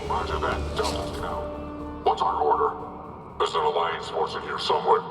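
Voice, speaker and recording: Deep, distant gruff trooper. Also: male voice, little pitch movement, normal speed, a bit bright. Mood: terse, tactical. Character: gruff hostile paramilitary trooper. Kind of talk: cinematic video game acting. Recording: distant, echoey hall, music behind.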